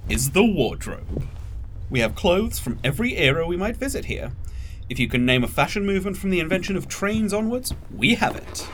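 An actor playing a courteous, reassuring gentleman attendant in an audio drama, speaking politely and formally with gentle concern. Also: high-pitched, big pitch swings, normal speed, slight background noise. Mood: courteous, pleased.

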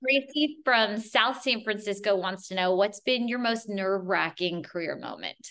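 A woman's voice: mid-range voice, animated, moderate pace, creaky, fairly bright tone. A woman hosting a podcast, putting prepared interview questions in a conversational way.

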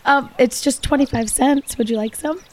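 A woman's voice: sits high, moderate pace, a little mellow, a little hiss or hum, lightly acted. A woman playing a nervous little lemonade girl. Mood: pleading. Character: young girl selling lemonade, pleading and nervous.

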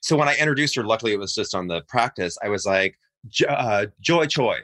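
Lively, bright-voiced man telling stories. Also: fairly low pitch, a little fast. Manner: animated, laughs often, storytelling. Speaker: podcast guest. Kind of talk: casual chat.

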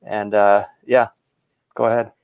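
A man hosting a casual podcast, speaking in a halting, hesitant way. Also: on the low side, flat delivery, a little mellow, smooth, dull audio. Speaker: podcast host.

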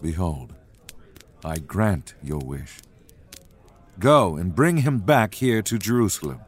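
A wise old king speaks in a deep, unhurried voice, as a male narrator puts him on. He is calm and commanding, with a rising and falling delivery that lands each word. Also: slightly rough, exaggerated voice. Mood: stern. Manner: slow and deliberate.

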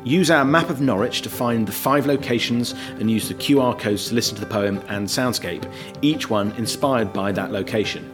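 Guy host reading announcements, steady and clear, guitar music loud under him. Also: mid-range voice, normal speed. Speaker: podcast host. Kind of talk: scripted announcements.

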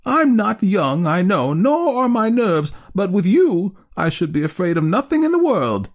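A man's voice: high voice, expressive, moderate pace, creaky, darkish tone, voice pushed up, heavily acted. A man voicing a determined, eager elderly spinster aunt in an audiobook story. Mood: firm, scornful. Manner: eager, emphatic, firm. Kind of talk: audiobook character voice.

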